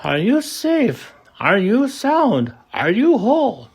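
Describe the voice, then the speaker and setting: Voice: mid-range voice, huge pitch swings, slow, slightly rough, pitched above the performer's own voice, full character voice. A storybook reader voicing a big, kindly, devoted elephant who exclaims in an earnest, heartfelt way. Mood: anxious, relieved.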